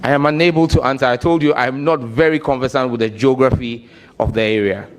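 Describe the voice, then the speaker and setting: A man's voice: mid-range voice, brisk. A Ghanaian man who is a nominee at a hearing, giving careful, formal answers and addressing the chairman. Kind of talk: formal testimony.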